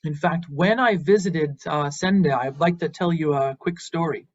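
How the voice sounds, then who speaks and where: A man's voice: on the high side, normal speed. Earnest American man lecturing, muffled sound. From Boston, United States. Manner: earnest and encouraging, with some fillers. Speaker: university lecturer. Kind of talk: invited lecture, online.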